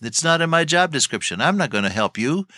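A man's voice: a little high, sing-song, brisk, pushed and bright, no echo, studio quality. An older man teaching the Bible on radio, telling folksy stories and chuckling as he goes.

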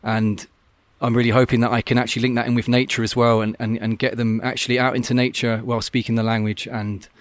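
A man's voice: medium pitch, level tone, fast, few pauses, no echo. A man who works as an open-water swim coach, talking earnestly and thoughtfully in a casual podcast interview, often restarting his sentences. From Scotland.

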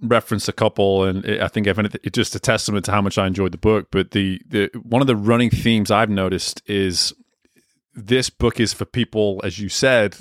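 Low-voiced man, dry close sound. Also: fairly even intonation, dry recording. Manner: measured, reflective, frequent short affirmations. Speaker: host. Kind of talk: conversational interview.

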